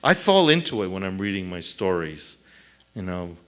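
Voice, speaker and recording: A middle-aged man, a native Spanish speaker, answering audience questions on a theater stage at a lectern mic, thoughtful and halting with many restarts. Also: sits low, very animated, a bit bright, smooth. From El Paso, Texas. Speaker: author at a book event. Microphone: lectern mic on a stand.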